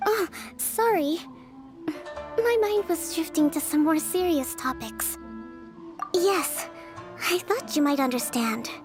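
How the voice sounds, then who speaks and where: Gentle, very high-voiced young leader. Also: female voice, lively intonation, takes it slowly, pauses often, music in the background, heavily acted. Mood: apologetic. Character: gentle, thoughtful young female island leader and strategist. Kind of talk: video game character acting.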